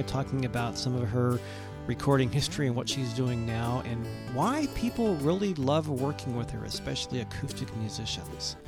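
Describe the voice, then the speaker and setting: A man hosting a podcast, giving a relaxed scripted sign-off and plugs. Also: mid-range voice. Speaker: podcast host. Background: guitar music.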